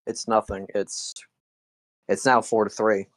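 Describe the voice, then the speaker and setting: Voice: mid-range voice, little pitch movement, measured pace, no echo. A co-commentator following a card game live in a casual way, often in short, clipped interjections. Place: apartment.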